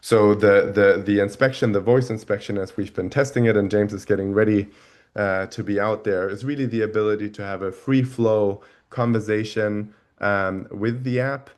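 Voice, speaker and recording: A man with a thick German accent hosting a product webinar over a video call, talking in a halting way with many restarts. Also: on the low side, steady tone. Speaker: webinar host. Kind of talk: product demo webinar talk.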